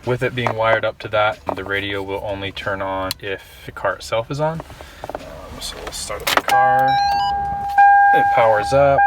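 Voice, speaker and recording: A young adult man with a fairly low voice talks casually through a hands-on demonstration. His phrasing is informal and laid-back. He sounds a bit away from the camera's mic, with clear noise around him. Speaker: vlogger showing a car radio install. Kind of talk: casual hands-on video walkthrough. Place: in and around a parked car. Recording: noisy, distant camera mic around a vehicle.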